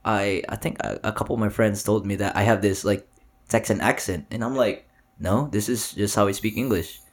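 Relaxed man hosting a casual chat. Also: on the low side. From Philippines. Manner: relaxed, quick back-channel replies, giggly. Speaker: podcast host.

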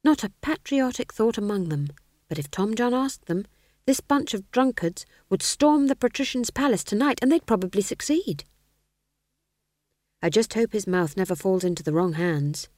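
A woman's voice: medium pitch, lively intonation, normal speed, a little mellow, dry recording, studio quality, lightly acted. A woman voicing Howell, a gloomy, wary male dwarf who grumbles dryly. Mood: weary.

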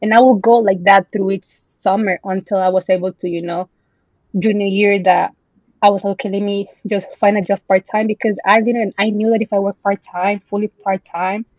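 A woman's voice: medium pitch, steady tone, moderate pace, no echo, muffled recording. A woman in her twenties from El Salvador, a podcast guest chatting casually with many ums and bits of Spanish mixed in.

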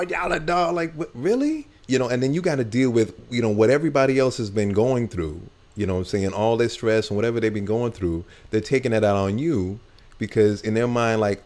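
A man's voice: fairly low pitch, moderate pace, darkish tone. A man hosting a casual talk show, rambling with many fillers as he asks questions. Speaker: host.

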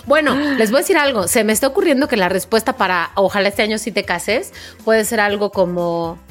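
A Mexican woman co-hosting a podcast, telling casual comic anecdotes in an animated way and acting out bits of dialogue. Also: medium pitch, fairly quick, soft background music. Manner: animated, acts out imagined dialogue. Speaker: podcast co-host.

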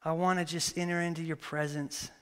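High bright-voiced pastor in echoing hall. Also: male voice, brisk, smooth. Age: 30s. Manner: animated, storytelling with acted-out quotes. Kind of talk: sermon with prayer and stories. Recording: long reverb of a church hall.

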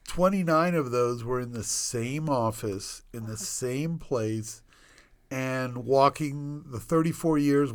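A man's voice: medium pitch, clear, resonant tone. A man in his sixties from Northern Virginia, a doctor telling his life story as a guest in a casual interview, rambling with many restarts and self-deprecating humor. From Northern Virginia, United States. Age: 60s. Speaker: guest, obstetrician.

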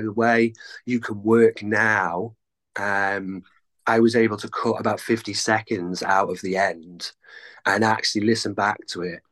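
A man's voice: fairly low pitch, a bit bright. A Welsh man in his thirties, a musician chatting casually in an interview, rambling and self-deprecating with lots of fillers. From North Wales. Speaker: guest musician.

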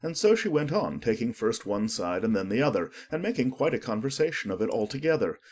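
A man's voice: medium pitch, sing-song, a little fast, darkish tone. A man narrating a children's storybook as a scripted audiobook reading.

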